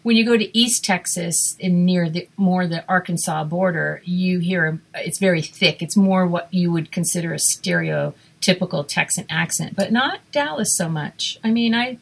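Brief: woman, Massachusetts accent, casual storyteller, lively quoted asides. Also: fairly low pitch, normal speed, creaky, hardly any pauses. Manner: animated, storytelling, acts out quotes. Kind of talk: casual chat.

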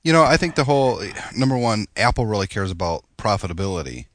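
A man with a low voice, worn thin and strained, talks as the host of a laid-back tech podcast. He talks casually, with frequent fillers and restarts. The dry, close sound comes from a modest home microphone. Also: quick pace, dry recording. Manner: relaxed, chatty, with fillers and restarts. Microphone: lower-grade home mic.

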